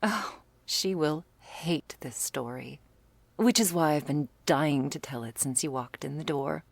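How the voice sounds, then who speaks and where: Low, unhurried, teasing vampire woman voiced by an actress. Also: dry recording, full character voice. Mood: fond, wry. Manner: breathy asides, pet names, quaint turns of phrase. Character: teasing, doting female vampire lover, wryly playful and old-fashioned. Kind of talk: intimate ASMR roleplay.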